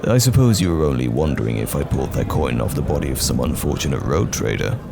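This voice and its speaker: A man playing a courteous, guarded, wry wandering knight in a fantasy audio roleplay, speaking in measured, old-fashioned phrases. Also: rumbling low, expressive, creaky, noisy background, heavily acted. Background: music.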